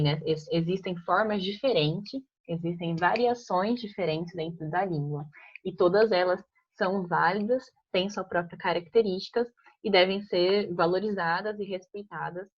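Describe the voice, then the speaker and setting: A young woman talks her class through a grammar lesson in a relaxed, chatty way, tagging her points with "né?". Her voice sits a little low, and the recording sounds muffled and lo-fi. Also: husky. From Piracicaba, São Paulo state, Brazil. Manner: conversational, frequent "né?" tags and restarts. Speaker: teacher. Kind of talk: informal online grammar class. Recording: muffled lo-fi audio.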